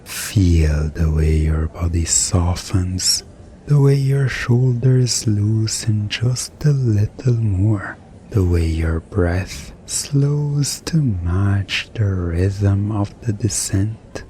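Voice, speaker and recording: Male hypnotist, ASMR roleplay. Extremely unhurried, hushed and soothing, with a faint noise bed behind him. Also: fairly low pitch, expressive. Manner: soothing, hushed, drifting in short phrases with pauses. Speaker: hypnotist in a collaborative audio roleplay. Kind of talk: hypnosis ASMR, slow guided descent.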